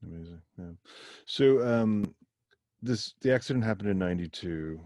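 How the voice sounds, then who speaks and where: A man with a deep, dark and relaxed voice hosts a conversational interview. He is attentive, dropping in brief reactions and thoughtful questions. The sound is very dry, with no room around it. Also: moderate pace, no room sound.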